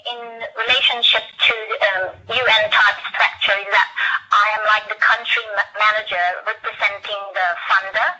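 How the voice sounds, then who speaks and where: Woman, filipino-kiwi accent, really sharp bright voice, explaining stuff on a webinar, dull call sound. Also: medium pitch. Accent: Filipino mixed with New Zealand. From Philippines. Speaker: health ministry official. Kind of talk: presentation on a webinar. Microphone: computer mic on a webinar call.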